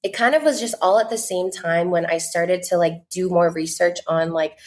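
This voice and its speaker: Woman, twenties, lower pitch, earnest, casual interview guest. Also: moderate pace. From United States. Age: 20s. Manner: chatty, many "like" fillers, earnest. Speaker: podcast guest, content creator.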